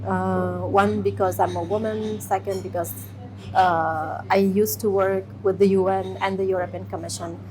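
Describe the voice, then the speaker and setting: A woman in her thirties from Afghanistan, giving a measured personal testimony with pauses and restarts. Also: mid-range voice, flat delivery, a little mellow, slight background noise, rough recording. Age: 30s. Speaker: interviewee.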